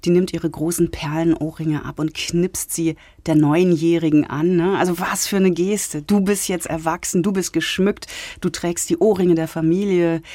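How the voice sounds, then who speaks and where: A woman's voice: fairly low pitch, fairly even intonation, moderate pace, few pauses, warm tone, no room sound. A woman giving a conversational book review on the radio, telling it expressively as a literary critic. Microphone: close studio microphone.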